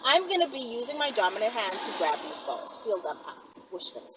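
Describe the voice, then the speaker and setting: A woman with a fairly high voice talks in a loose, rambling vlog style. She is brash and playful and swears freely. The mic sits some way off, and there is clear noise behind her. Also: sing-song, brisk, far from the mic. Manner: brash, playful, foul-mouthed. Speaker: video creator. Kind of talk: casual rambling vlog chat to viewers.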